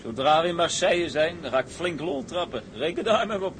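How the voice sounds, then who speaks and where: Actor as blunt deckhand over clear background noise. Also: male voice, on the high side, lively intonation, a little fast, lightly acted. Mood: restless. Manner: blunt, colloquial. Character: bored, restless young-hearted male tug deckhand. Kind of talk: dramatised seafaring story, scripted acting.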